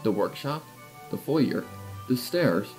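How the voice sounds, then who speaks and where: A man narrating a scripted fan fiction story in a playful, expressive storytelling way. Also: mid-range voice, takes it slowly. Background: music.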